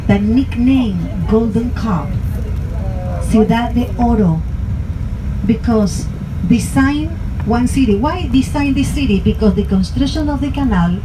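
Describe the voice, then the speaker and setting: A woman's voice: fairly high pitch, a little slow. Woman, Latin American Spanish accent, tour guide, bilingual commentary, rhetorical questions, noisy moving bus. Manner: explains through rhetorical questions, switching between two languages. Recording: loud vehicle noise on a moving bus.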